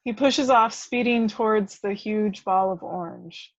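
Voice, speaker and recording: A woman reads out screenplay action in a medium-pitched voice. She serves as the narrator of an amateur script reading, delivering scene descriptions and stage directions. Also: a little slow, dry recording, dull audio.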